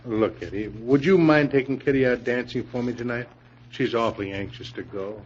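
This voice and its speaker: An actor playing a meek, ailing, trusting husband in an old-time radio crime drama, timid and placating. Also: male voice, medium pitch, fairly quick, slightly rough, lightly acted. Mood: friendly, hopeful. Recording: muffled, hissy old radio recording.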